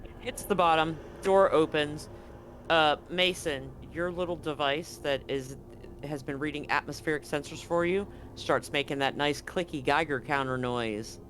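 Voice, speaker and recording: A man's voice: fairly high pitch, moderate pace, a little hiss or hum. Chatty male game master. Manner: relaxed, chatty, hums sound effects. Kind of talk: narrating a tabletop game.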